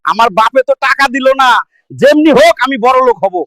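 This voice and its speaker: A man voicing a brash, vengeful, money-hungry Hulk in a cartoon, loud and declamatory. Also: extremely high pitch, fairly quick, dry recording. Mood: aggrieved. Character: brash, vengeful, cash-hungry male Hulk, a jilted underdog. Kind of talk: exaggerated cartoon story dialogue.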